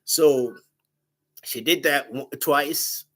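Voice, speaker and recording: Man, big loud voice, animated storytelling chat. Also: medium pitch, extremely slow. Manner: animated, laughing, quotes himself in raised voice. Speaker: dating show guest.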